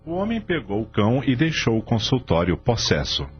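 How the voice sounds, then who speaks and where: A man narrating a radio drama from a script, telling the story in a dramatic, expressive way. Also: medium pitch, moderate pace. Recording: dull lo-fi audio, music behind.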